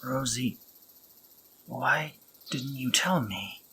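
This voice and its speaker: A young man playing a remorseful, protective male spider monster in an audio roleplay, talking haltingly with stammers and soft whispers. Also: mid-range voice, a little hiss or hum, full character voice.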